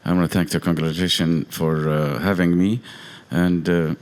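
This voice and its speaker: Very deep-voiced Lebanese-born man, halting. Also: flat delivery. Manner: halting, many fillers and restarts. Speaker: guest violinist. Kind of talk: short informal thank-you remarks. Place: congregation meeting hall.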